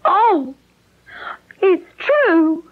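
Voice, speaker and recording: A tender young wife in a vintage radio drama. Her voice is very high and plaintive, with slow, emotional delivery. The old recording is narrow and tinny. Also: sing-song, exaggerated voice. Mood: hurt, tearful. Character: devoted young wife, hurt yet tender. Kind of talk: 1970s radio drama acting.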